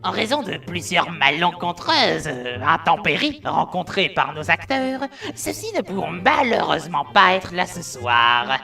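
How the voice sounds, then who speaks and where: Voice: mid-range voice, big pitch swings, measured pace, creaky, few pauses, bright, forceful tone, recorded from a distance, soft background music, heavily acted. A performer voicing a formal announcer, courteous and stilted, reading a scripted apology.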